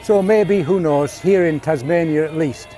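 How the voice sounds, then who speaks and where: A man in his seventies, a puppeteer, explaining and showing his craft in a measured way with dry humour. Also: fairly high pitch, mellow, soft background music. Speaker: puppeteer, Punch and Judy showman. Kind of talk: informal talk demonstrating puppets.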